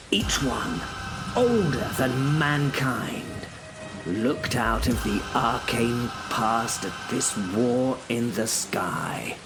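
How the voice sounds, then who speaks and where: A man narrating a horror audio drama in the first person, brooding and dramatic. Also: medium pitch.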